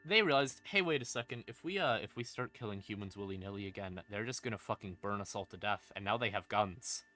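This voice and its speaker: A man's voice: fairly low pitch, lively intonation, brisk, sharp tone. A man explaining lore on a stream in a casual, unscripted, irreverent way, swearing freely. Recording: background music under voice. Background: ambient music.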